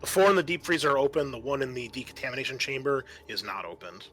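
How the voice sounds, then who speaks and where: A man working as game master, improvising halting, descriptive narration for a tabletop sci-fi horror game. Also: medium pitch, a bit bright.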